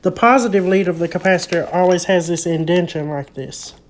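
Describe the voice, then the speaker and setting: A man's voice: high-pitched, steady tone, a little fast. Young man explaining a repair. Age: young adult. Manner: explanatory, step by step. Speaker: hobbyist vlogger. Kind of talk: casual hands-on repair demonstration. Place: indoor room at home, at a wooden table. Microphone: handheld phone close by.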